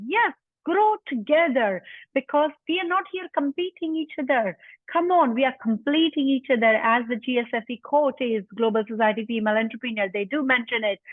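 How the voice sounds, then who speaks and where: Woman panel host on a video call, enthusiastic and high-pitched, dry phone-like audio. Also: no room sound. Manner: enthusiastic, emphatic. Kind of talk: moderating an online panel. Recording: tinny dry phone-like line.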